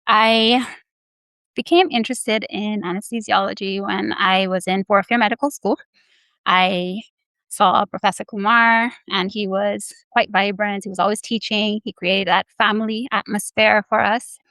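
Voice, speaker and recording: A woman's voice: medium pitch, fairly even intonation, measured pace, bright, forceful tone, no room sound, rough recording. A young woman from the Bahamas and Barbados, answering interview questions earnestly with many hesitant fillers. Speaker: guest, anesthesiology resident.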